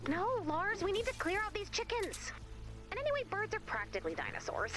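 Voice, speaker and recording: A woman voicing a brisk, blunt, teasing team leader in a video game, with music behind. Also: extremely high pitch, huge pitch swings, exaggerated voice.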